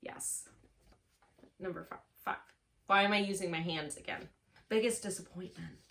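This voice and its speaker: A woman's voice: fairly low pitch, normal speed, vocal fry, a bit bright. A woman chatting casually to camera as a book reviewer, playful and self-mocking, stretching words for dramatic emphasis. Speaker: book reviewer on a video channel.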